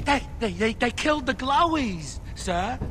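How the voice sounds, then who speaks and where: An actor playing a scrappy, nervous lackey of a town boss in a video game. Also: male voice, very high-pitched, expressive, a little slow, background noise. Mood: nervous, deferential.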